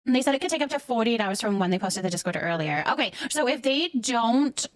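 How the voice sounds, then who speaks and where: A woman's voice: on the high side, animated, brisk, dry recording, clean studio sound. A woman livestreaming a game, chatting casually with viewers and thinking aloud as she goes.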